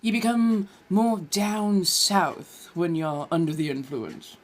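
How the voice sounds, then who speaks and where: A woman's voice: on the low side, fairly even intonation, takes it slowly. A woman from California chatting casually as a livestream host, putting on a posh colonial American accent with affected, genteel phrasing. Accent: put-on posh colonial American. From California, United States. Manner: affected genteel phrasing, addresses listeners as dears and darlings.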